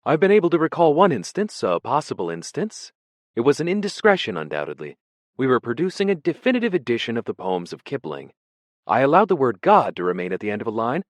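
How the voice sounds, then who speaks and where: A man's voice: mid-range voice, huge pitch swings, fast, no echo, studio quality, pitched above the performer's own voice. A man voicing a nervous, pedantic male poet held as a prisoner, speaking vaguely and with fussy indignation. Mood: bewildered, pedantic.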